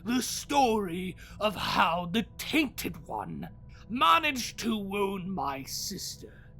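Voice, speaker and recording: Slow, wildly swooping ancient immortal. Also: mid-range voice, big pitch swings, sharp tone, soft background music, full character voice. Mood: brooding, hushed. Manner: theatrical, riddling, drawn-out, sliding into hissing whispers. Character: ancient, sinister, theatrical fallen immortal storyteller, bitter and mocking. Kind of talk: audio drama monologue.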